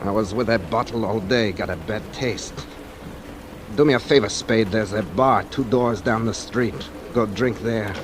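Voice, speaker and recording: A man's voice: lowish voice, unhurried, natural voice. An actor playing a surly, guarded gangster with curt tough-guy phrasing in a 1940s radio noir. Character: surly, guarded male gangster wanting to be left alone. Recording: noisy vintage radio recording.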